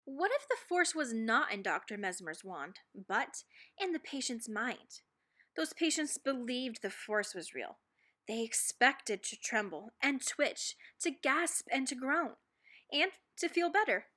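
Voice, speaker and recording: Female read-aloud narrator for children's books: bright, forward tone, slightly high pitch, expressive and deliberately dramatic phrasing.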